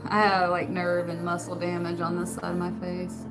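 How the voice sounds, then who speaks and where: A soft-spoken woman with a rural Western American accent, chatting casually with her viewers and laughing often. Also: lowish voice, monotone, moderate pace, poor audio. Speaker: channel host. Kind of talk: casual live chat answering comments. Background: background music.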